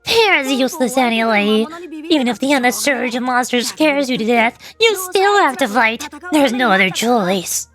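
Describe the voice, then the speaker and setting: A woman's voice: fairly high pitch, lively intonation, moderate pace, fairly bright tone. A woman voicing a blunt, mocking, battle-hardened warrior in a fantasy video game. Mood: scornful. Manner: curt, mocking.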